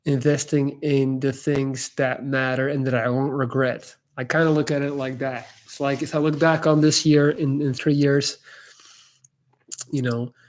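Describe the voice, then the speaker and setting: A man from Belgium, speaking English, answers viewer questions on a live stream. His talk is loose and candid, with frequent "like"s and false starts. His pitch sits in the middle range for a man. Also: level tone, measured pace. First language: Dutch. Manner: candid and loose, full of "like" and restarts. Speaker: YouTuber hosting a live Q&A. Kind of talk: casual livestream Q&A chat.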